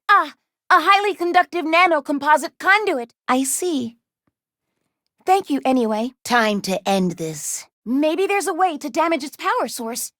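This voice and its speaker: A woman voicing a gentle, anxious young female robot in a video game, speaking formally and precisely with careful diction. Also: high voice, dry recording, clean studio sound. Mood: earnest, helpful. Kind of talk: video game character voice lines.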